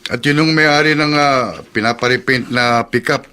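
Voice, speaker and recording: A man playing a brisk auto-shop boss who gives instructions in a Filipino radio drama. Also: medium pitch, steady tone, bright, forceful tone, clean tone, natural voice.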